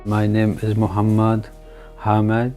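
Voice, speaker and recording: A man's voice: on the low side, completely flat delivery, a little slow, dark tone. A Syrian man interviewed in a TV report, first language Arabic, telling things plainly and earnestly. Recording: TV report with guitar music underneath.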